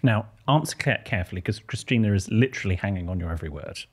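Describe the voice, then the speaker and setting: Man in his 30s, podcast co-host reading letters, low husky voice, lively melodic delivery, close studio mic. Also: quick pace. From Bristol, England. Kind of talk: casual chat and reading listener letters. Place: small podcast studio, indoors. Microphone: close studio mic on boom arm, headphones.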